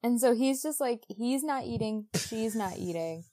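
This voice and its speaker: A woman's voice: a little high, lively intonation, normal speed, dark tone. Female podcast co-host, informal comedic recapper who slips into characters' lines in slangy modern speech. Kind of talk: casual comedic book recap.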